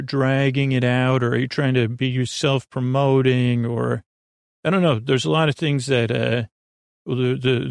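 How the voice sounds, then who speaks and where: A man's voice: medium pitch, vocal fry, no room sound, studio quality. A man hosting a podcast in a casual, goofy bedtime monologue, meandering and self-deprecating, often trailing off and restarting his sentences. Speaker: podcast host.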